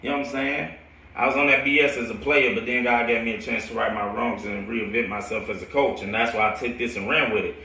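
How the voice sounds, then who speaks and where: A man's voice: mid-range voice, fairly even intonation, fast, gravelly, pushed and bright. An American man in his late twenties telling stories casually in a slangy, rambling way, often repeating his phrases. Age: late 20s. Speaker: football coach telling his own stories to viewers. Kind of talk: casual storytelling livestream. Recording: roomy echo, distant from mic.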